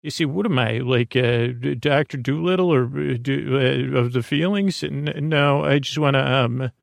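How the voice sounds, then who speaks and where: A man with a creaky, gravelly voice, recorded very dry, hosts a bedtime podcast. He rambles hesitantly, circling back on himself with stammered restarts. His monologue drifts from one tangent to the next to help listeners fall asleep. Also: medium pitch, moderate pace, dry recording. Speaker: podcast host.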